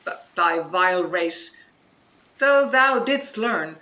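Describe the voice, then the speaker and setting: A woman's voice: lowish voice, animated, takes it slowly, pushed and bright, full character voice, rough recording. An actress playing a stern, imperious sorceress and mother in a Shakespearean audio drama, with declamatory delivery. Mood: scornful.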